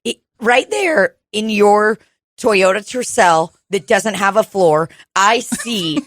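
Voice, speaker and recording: A woman's voice: medium pitch, expressive, takes it slowly, fairly bright tone, dry recording. A woman co-host playing an exasperated retail clerk with forced politeness and sarcasm in an improv comedy sketch recorded in a dining room. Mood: forced-polite. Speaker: podcast co-host.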